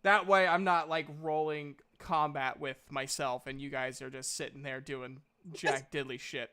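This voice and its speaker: A man's voice: a little high, steady tone, moderate pace, pushed and bright, smooth. A man running a tabletop roleplaying game as game master, talking informally.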